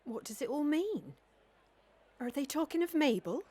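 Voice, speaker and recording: A woman's voice: high voice, animated, normal speed, mellow. A woman playing an anxious, troubled heroine in a Victorian audio melodrama.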